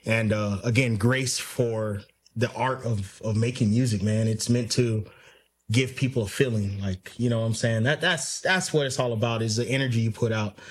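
A man's voice: medium pitch, moderate pace. A drummer in his thirties talking in a laid-back, slangy way in a living room interview. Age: 30s. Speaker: band drummer. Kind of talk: casual interview chat.

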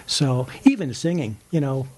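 A man in an interview, explaining his music club in a friendly, relaxed way. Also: medium pitch, moderate pace, husky. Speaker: ukulele club member. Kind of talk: casual interview chat.

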